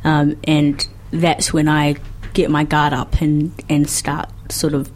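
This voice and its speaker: A woman in her twenties with a New Zealand accent, speaking thoughtfully and in a measured way as a podcast guest. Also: deep voice, level tone, a little mellow. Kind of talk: reflective conversation.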